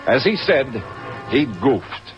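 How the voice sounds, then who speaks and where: An actor playing a wisecracking American insurance investigator in an old radio detective drama, dry and breezy, with an American accent. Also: male voice, mid-range voice, sing-song, slow pace, over music. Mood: dry, matter-of-fact. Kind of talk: old-time radio detective acting and first-person narration. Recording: muffled old radio recording.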